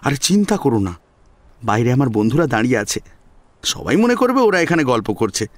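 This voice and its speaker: A man's voice: medium pitch, sing-song, fairly quick, no echo, natural voice. A man playing an eager, lovestruck young college student, coaxing and flustered, in an audio story. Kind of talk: melodramatic audio story acting.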